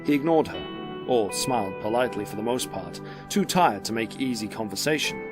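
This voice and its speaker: A man narrating a dramatic story from a script in a measured, descriptive way. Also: fairly low pitch, expressive, over music.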